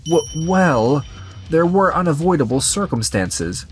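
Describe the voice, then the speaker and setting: A man's voice: a little high, sing-song, measured pace. A man plays a proud company president in a manga dub. He is haughty and evasive, with a defensive edge. Light music sits under the voice. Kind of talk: scripted manga dub acting.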